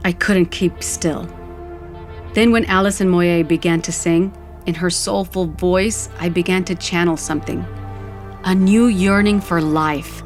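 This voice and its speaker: A woman's voice: on the low side, steady tone, measured pace, soft background music. A woman narrating audio fiction in the first person, with a wistful, vivid storytelling manner.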